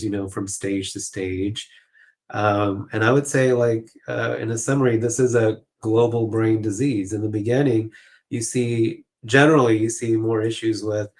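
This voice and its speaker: A man speaking as a clinician guest, explaining things conversationally in a halting, informal way with lots of filler phrases. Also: on the low side. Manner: halting, informal, peppered with "you know" and "like".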